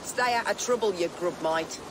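A man plays a bullying street henchman in a game. He speaks in rough, threatening bursts, with clear ambient noise behind the voice. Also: sits high, moderate pace, close to an everyday voice. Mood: grudging. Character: bullying male street thug, gruff henchman. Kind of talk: game cutscene acting. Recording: voice over noisy game ambience.

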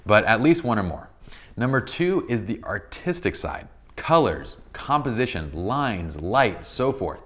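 A man in his thirties with a deep, slightly tired voice, explaining photography basics in a casual studio tutorial. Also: sing-song, a little slow, fairly bright tone. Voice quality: deep voice, a bit tired from a long day. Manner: enthusiastic, explains with many examples and restarts. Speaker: photography instructor. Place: bright indoor studio set with a sofa.

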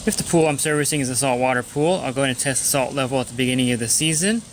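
A man's voice: mid-range voice, rapid-fire, a little hiss or hum. A pool service technician talking through his weekly routine in a plain, matter-of-fact instructional voice-over.

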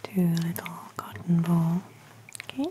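A woman in her thirties speaking in a gentle, hushed, breathy ASMR whisper, as an examiner giving personal attention. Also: fairly low pitch, normal speed, a little hiss or hum. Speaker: ASMR roleplay performer as ear examiner. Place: small indoor room with fabric backdrop.

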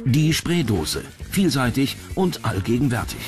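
Male narrator, standard German, mid-pitched scripted documentary read over music. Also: big pitch swings, a little slow. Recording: music bed behind narration.

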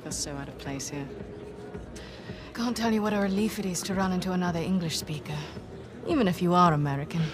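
A woman's voice: fairly low pitch, brisk, noisy background, lightly acted. A woman playing a self-assured mercenary boss in a video game, speaking in a sardonic, teasing way. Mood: sardonic, sociable. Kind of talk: cinematic video game acting.

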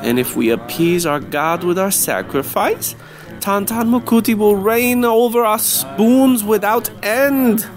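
A man's voice: high voice, lively intonation, a little slow, faint music behind, voice pushed up. A man playing a fanatical, menacing cult leader who declaims ceremonially in an old-time radio horror drama. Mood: exalted, commanding.

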